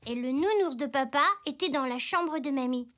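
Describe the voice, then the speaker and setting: Woman voicing an eager, high-pitched little boy zebra. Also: normal speed, close to an everyday voice. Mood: pondering, pleased. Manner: earnest, expressive. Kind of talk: French children's cartoon acting. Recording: music bed behind the voice.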